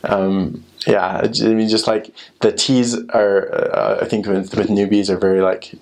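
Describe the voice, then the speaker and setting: A man's voice: on the low side, level tone, moderate pace, vocal fry, darkish tone. A young American man chatting casually and informally about his experiences in a rambling way, full of filler words. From United States. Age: young adult. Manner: rambling, informal, frequent "like" and "you know". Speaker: former missionary sharing experiences. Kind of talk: casual chat.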